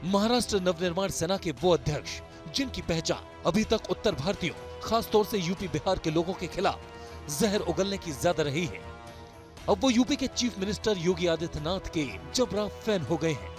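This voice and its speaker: A man delivers a dramatic scripted news voice-over. His pitch is on the high side for a man, and music plays clearly behind him. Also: expressive, normal speed. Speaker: news report narrator. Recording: voice-over laid over loud music.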